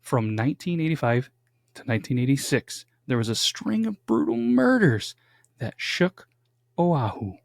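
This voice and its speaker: Young male podcast host, mid-pitch, chatty and jokey, dry close studio sound. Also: very animated, normal speed, vocal fry, dry recording. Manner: chatty, jokey, dramatic build-ups. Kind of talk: casual true-crime podcast chat. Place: home studio.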